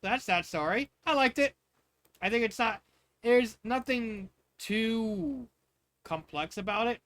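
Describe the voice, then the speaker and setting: A man's voice: very high-pitched, lively intonation, slow pace, a bit bright, dry recording. A man narrating fan fiction in an informal, amateur way, stumbling over words with casual asides.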